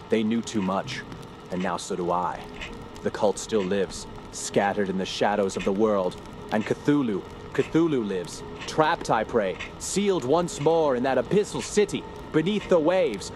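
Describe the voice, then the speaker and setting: A man's voice: lowish voice, natural voice. Man acting haunted scholar over noisy ambience. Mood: rising dread. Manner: grave, literary, theatrical. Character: haunted scholarly male narrator, dread-ridden, doomed witness of cosmic horror. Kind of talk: dramatic audio drama monologue.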